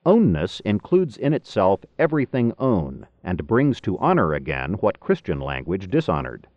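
A man narrating a philosophy book in a formal, deliberate, rhetorical manner, with emphatic phrasing, on a cassette recording. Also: low-pitched, huge pitch swings, no room sound. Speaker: audiobook narrator. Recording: dull lo-fi cassette tape recording.

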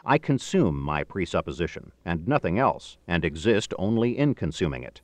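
A middle-aged man reading a philosophical book aloud in a formal, measured, emphatic way, with deliberate phrasing. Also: low-pitched, big pitch swings, no room sound. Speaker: audiobook narrator.

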